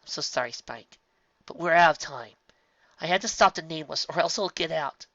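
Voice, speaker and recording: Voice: medium pitch, fairly quick, pushed and bright, no echo, dull audio, voice pushed up. A reader voicing Twilight, a young female pony, anxious and resolute, who sobs, pleads and screams. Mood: sorrowful. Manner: tearful, pleading, then screaming.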